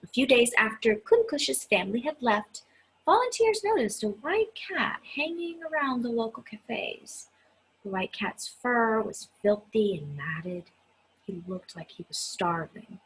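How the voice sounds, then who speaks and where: A woman storyteller with a markedly husky, breathy voice reads a children's book aloud. Her pitch moves up and down in a lively, animated way, with dramatic emphasis on key words and a conversational touch. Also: low-quality recording. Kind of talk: expressive read-aloud with chatty asides to listeners.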